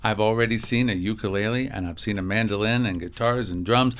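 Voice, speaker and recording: A man's voice: on the low side, brisk, a bit bright. A man hosting a radio interview in a studio, chatty and prone to telling stories, with frequent restarts. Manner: chatty, storytelling, lots of restarts. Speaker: radio host.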